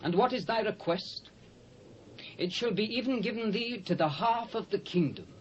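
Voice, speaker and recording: A man's voice: sits high, lively intonation, normal speed. An actor playing a grand, imperious Persian king in a British accent, declaiming in a dramatised scripture recording. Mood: magnanimous. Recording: dull old cassette, faint hiss.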